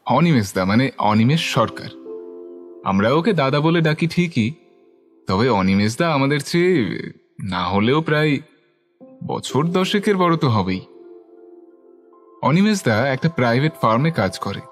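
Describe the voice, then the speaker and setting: A curious young story narrator, music behind. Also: male voice, mid-range voice, very animated, a little slow, long pauses. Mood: observant, curious. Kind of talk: scripted first-person narration with dialogue.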